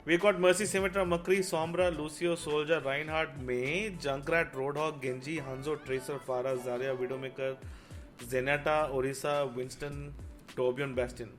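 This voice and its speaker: A man's voice: a little high, little pitch movement, moderate pace. A man with an Indian accent hosting a casual group chat about films and games. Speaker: podcast host. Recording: music under the voice. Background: music.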